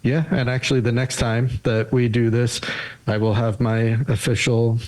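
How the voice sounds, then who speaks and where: A man hosts a podcast in an easygoing, rambling manner. His voice sits at an ordinary middle pitch. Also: flat delivery, normal speed, darkish tone, poor audio. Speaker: podcast host. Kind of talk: casual podcast chat.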